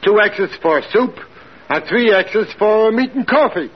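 An actor playing a dim, pugnacious ex-prizefighter turned waiter, blunt and ungrammatical, in a 1940s radio comedy. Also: male voice, sits high, lively intonation, breathy edge, heavily acted. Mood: dim confidence. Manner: blunt, ungrammatical, slow-witted. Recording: noisy, dull vintage radio recording.

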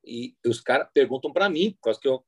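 A Brazilian man tells stories in a casual, slangy way. His pitch sits in the middle of the male range, and the sound is dry, with very little room around it. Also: expressive, fairly quick, fairly bright tone, slightly rough, no room sound. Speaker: sports interpreter and guest. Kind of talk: casual, anecdotal interview chat.

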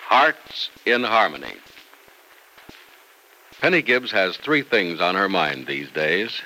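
A man's voice: mid-range voice, bright, forceful tone, a little hiss or hum, rough recording. A man announcing in an emphatic, polished old-time radio sales and narration style. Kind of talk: 1940s-50s radio announcing, sales pitch.

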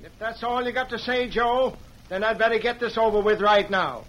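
An actor playing a stern, folksy, exasperated frontier judge in an old-time radio western. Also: male voice, very high-pitched, moderate pace. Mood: firm. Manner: folksy, loud, commanding. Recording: noisy vintage radio broadcast recording.

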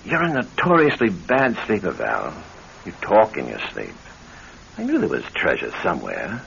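An actor playing a scheming, greedy husband with a suave, mocking manner and a menacing edge, in classic radio drama style. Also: male voice, deep voice, wildly swooping pitch, moderate pace, creaky, fairly bright tone, exaggerated voice. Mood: sly, pressing. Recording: muffled old radio broadcast with faint hiss.

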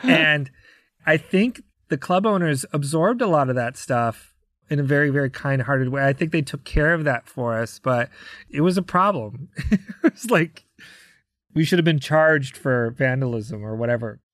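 A man's voice: on the high side, expressive, moderate pace, a bit bright, dry recording. American man telling stories, dry close sound. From United States, Chicago area. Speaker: podcast guest, touring rock drummer. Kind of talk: casual anecdote storytelling.

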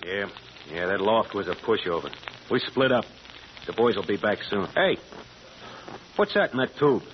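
Old radio gangster boss: blunt, suspicious, slangy, lively pitch, faint noise on a muffled recording. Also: male voice, moderate pace. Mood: pushy, suspicious. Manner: blunt, slangy tough-guy talk. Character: tough, suspicious male gang boss and crook. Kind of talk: 1930s-40s radio drama acting. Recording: dull, lightly noisy old radio recording.

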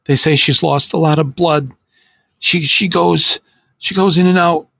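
Man voicing a crying fifteen-year-old boy, high, stammering, desperate. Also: unhurried, slightly rough, no echo, clean studio sound, exaggerated voice. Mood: sobbing, pleading. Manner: stammering, pleading.

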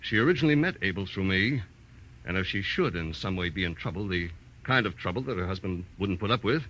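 Low, suspicious, self-serving businessman. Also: expressive, a bit bright, slight background noise, poor audio. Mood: uneasy, calculating. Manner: formal, careful, measured. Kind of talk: 1940s American radio drama acting.

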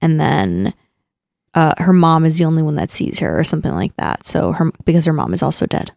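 A podcast co-host chatting casually, relaxed and explanatory. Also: medium pitch, brisk, vocal fry, no room sound, clean studio sound. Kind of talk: casual chat.

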